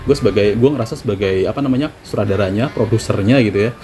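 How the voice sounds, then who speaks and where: Chatty low-voiced Javanese man. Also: very fast, warm tone. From Java. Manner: chatty, self-deprecating, slangy. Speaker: travel vlogger. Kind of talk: casual talk to camera. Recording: music playing underneath.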